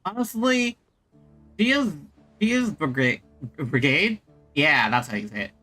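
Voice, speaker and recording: A woman streamer chats casually through a video game in a rambling way. Her voice is bright and lively in pitch, with game music behind it. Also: slow pace. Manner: rambling, chatty. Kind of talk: casual gaming commentary.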